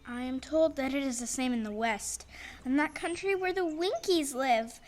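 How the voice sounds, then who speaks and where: Woman as a high-voiced, earnest little Munchkin. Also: moderate pace, close to an everyday voice. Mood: warning. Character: earnest Munchkin, a little fairy-tale country dweller. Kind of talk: stage audio play acting.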